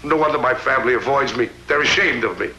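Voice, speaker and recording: A gravel-voiced self-pitying cop. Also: male voice, medium pitch, quick pace, bright, forceful tone, a little hiss or hum, low-quality recording. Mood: mournful. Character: middle-aged male cop, gloomy, self-pitying, soft-hearted.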